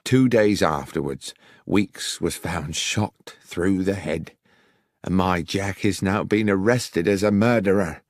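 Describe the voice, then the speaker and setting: A man's voice: sits low, takes it slowly, a little mellow, no echo, clean studio sound, exaggerated voice. A man voicing an earnest, worried older village publican with a British accent, plain-spoken and insistent. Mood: distressed.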